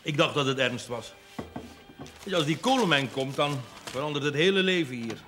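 A worldly squire in a television period drama. His voice is on the high side, with a casual, ironic, lordly ease. Faint music plays behind him. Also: lively intonation, moderate pace, sharp tone. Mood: amused, dismissive. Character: breezy, worldly young country squire, amused and condescending.

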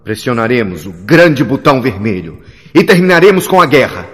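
A man's voice: mid-range voice, sing-song, moderate pace, close to an everyday voice. A man playing a solemn, grandiose alien leader who declaims a formal speech to his people in a sci-fi audio drama. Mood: rousing, solemn. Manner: oratorical, declamatory, grave. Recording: long hall reverb, music underneath.

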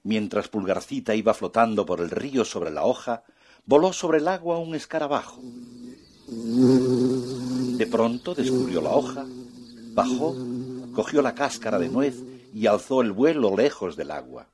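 A man narrating a children's fairy tale in an expressive, soft-spoken way. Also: mid-range voice, normal speed, pauses often, a crowd in the background. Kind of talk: children's storytelling.

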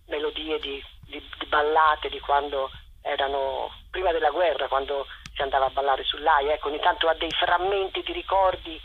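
A woman giving spontaneous, halting interview answers as a theatre director over the phone. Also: deep voice, fairly even intonation. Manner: halting, searching for words. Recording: muffled phone line with faint noise.

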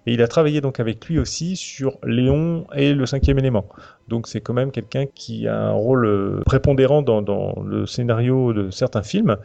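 A man's voice: mid-range voice, moderate pace, mellow. A man hosts a semi-prepared film discussion podcast, often hesitating as he searches for words. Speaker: podcast host. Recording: dull lo-fi audio, music behind. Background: clear background music.

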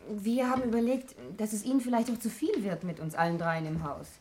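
An actress playing a wry, self-possessed governess with a dry, ironic manner in a 1960s German radio drama. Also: female voice, medium pitch, fairly quick, darkish tone, lightly acted. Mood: helpful. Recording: faintly noisy old radio recording.

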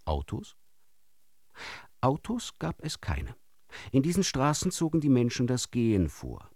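An actor playing a wry storyteller in a musical radio play, reciting deliberately and theatrically with pointed pauses. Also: male voice, mid-range voice, huge pitch swings, mellow, dry recording, clean studio sound, heavily acted. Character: wry male storyteller of absurd fairy tales. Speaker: narrator.

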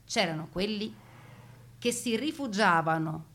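A woman's voice: mid-range voice, expressive, a little slow. A woman performing a theatrical narrated monologue, emphatic and rhythmic, stretching out words in lists. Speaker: stage actor and narrator.

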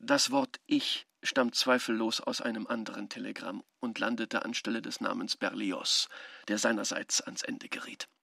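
An actor playing a shrewd middle-aged economist in a radio play, reasoning in a deliberate, pedantic way with a gravelly, husky voice. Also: male voice, on the low side, fairly even intonation, pushed and bright, dry recording, studio quality, full character voice. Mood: puzzled, reasoning. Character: shrewd middle-aged Kiev economist puzzling over a telegram.

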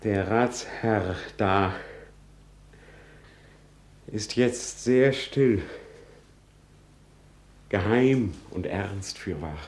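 An actor playing a bitter, mocking young prince who feigns madness in a theatrical German radio play of classical drama. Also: male voice, lowish voice, full character voice. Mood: grimly mocking. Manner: theatrical, sardonic.

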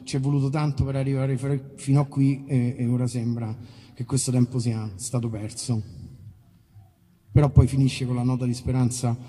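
Guy chatting between songs at a gig, really dark mellow voice, rambly, big reverb. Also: mid-range voice, slightly rough. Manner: rambling, informal, self-interrupting. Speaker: musician. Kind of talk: casual spoken introductions between songs. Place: live outdoor festival concert in a park. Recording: live concert, long reverb, faint noise.

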